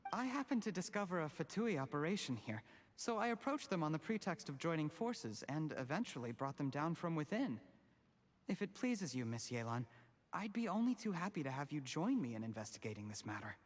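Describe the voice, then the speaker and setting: A man's voice: a little high, big pitch swings, brisk. An actor playing an ambitious, scheming young male official in a video game, formal, polite and defensive. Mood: feigned innocence. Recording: long reverb, faint background noise.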